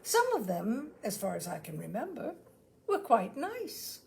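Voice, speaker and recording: A woman voicing a brisk, bossy but well-meaning sister in a storybook, with an emphatic, admonishing manner. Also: a little high, wildly swooping pitch, creaky, darkish tone, a little hiss or hum, heavily acted.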